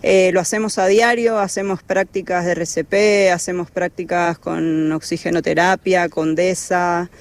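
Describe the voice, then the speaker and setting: Argentine woman, halting radio answers. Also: lowish voice, steady tone. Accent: Argentine Spanish. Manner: hesitant, many fillers and restarts. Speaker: lifeguard coordinator.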